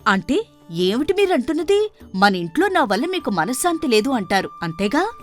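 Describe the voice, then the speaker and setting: A woman voicing a shrewish, quarrelsome wife in an animated tale, scolding and complaining. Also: high-pitched, moderate pace, soft background music, voice pushed up. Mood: indignant.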